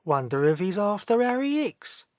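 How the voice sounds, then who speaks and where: Woman voicing a stout old bystander, gossipy and rough, sing-song swings in pitch, dry close read. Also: sing-song, moderate pace, no room sound, lightly acted. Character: stout old London cabman-type onlooker. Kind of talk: audiobook character voice.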